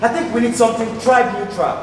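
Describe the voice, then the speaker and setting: A man playing a sceptical, bookish young debater in a stage play, pondering and halting. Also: very high voice, steady tone. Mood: earnest. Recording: echoey noisy hall from far off.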